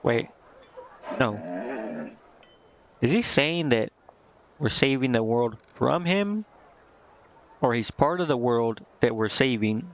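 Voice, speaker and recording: A man's voice: mid-range voice, expressive, slow pace, long pauses, slight background noise. A man livestreaming a game, reading text aloud and commenting in an informal, slangy, offhand way. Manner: informal, slangy, reacting offhand between read-out lines. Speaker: video game streamer.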